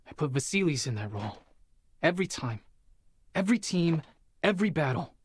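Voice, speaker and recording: An actor playing an earnest, driven young Spartan soldier burdened by guilt in a dramatic video game. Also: male voice, on the high side, very animated, fairly quick, slightly rough, no echo. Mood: somber, confessing.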